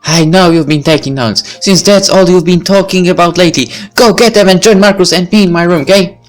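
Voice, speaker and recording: A man's voice: high voice, a little fast, pitched above the performer's own voice, close to an everyday voice. A man voicing an upbeat, teasing teenage schoolgirl in a visual novel, casual and coaxing, over faint music. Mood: chirpy, teasing. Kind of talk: visual novel character read-aloud.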